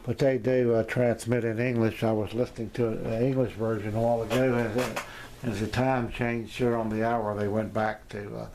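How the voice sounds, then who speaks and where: A man casually demonstrating shortwave radios in an unscripted, halting way, thinking aloud as he goes. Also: medium pitch, little pitch movement, darkish tone, soft background music. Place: indoors beside shortwave radios. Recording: noisy room with radio static. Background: radio broadcasts and static.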